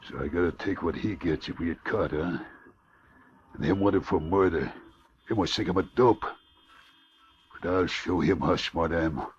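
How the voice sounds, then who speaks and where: Gangster henchman played by a man, very low, gravel-husky, grudging, slangy, dull old radio recording. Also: slight background noise, natural voice. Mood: resentful, scheming. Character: reluctant, grumbling gangster henchman and getaway driver. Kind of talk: 1940s radio acting.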